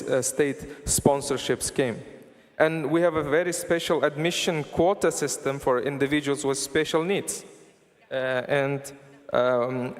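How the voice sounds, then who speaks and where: A man giving a formal conference presentation as an official, speaking haltingly with many hesitations and broken-off words, recorded in a large hall. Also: mid-range voice. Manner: halting, hesitant delivery with very frequent filled pauses and broken-off words. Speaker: government or university official presenting at a forum. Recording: reverberant hall sound through PA.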